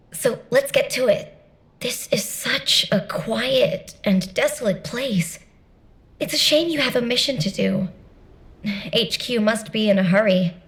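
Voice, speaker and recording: A chatty young soldier played by a woman briefs a comrade in a video game. She is easygoing and musing, with a mid-pitched voice and a conversational delivery. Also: close to an everyday voice, poor audio. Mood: wistful.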